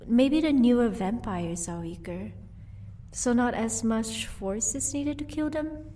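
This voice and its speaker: A woman's voice: on the high side, lively intonation, unhurried, mellow, a little hiss or hum. A woman playing an amused, haughty vampire wife in an audio roleplay, teasing in a cool, matter-of-fact way. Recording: voice distant from the microphone.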